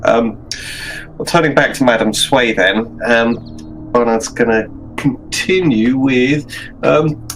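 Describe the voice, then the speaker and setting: A man with a medium-pitched voice talks through his character's actions in a tabletop game. He is casual and a little hesitant, with faint music in the background. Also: slow pace, a bit bright, low-quality recording.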